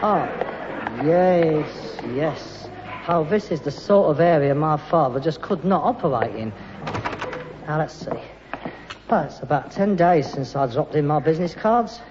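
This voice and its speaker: A man's voice: on the high side, lively intonation, unhurried. An actor playing a grumbling, pretentious London rag-and-bone man, an exasperated grown-up son, in a 1960s radio sitcom on a dull, noisy old recording. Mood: bossy, pompous. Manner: muttering, exasperated, putting on airs.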